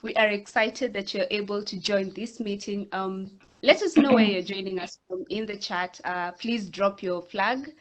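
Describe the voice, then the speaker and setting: Kenyan woman moderating an online panel. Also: medium pitch. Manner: friendly, measured introductions with fillers. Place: video call.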